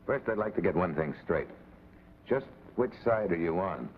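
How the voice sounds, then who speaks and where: An actor playing a blunt, hard-nosed American special agent in a vintage television drama, clipped and sardonic. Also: male voice, low-pitched, animated, normal speed. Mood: challenging, sardonic. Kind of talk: 1950s television drama acting. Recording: tinny, hissy vintage TV soundtrack.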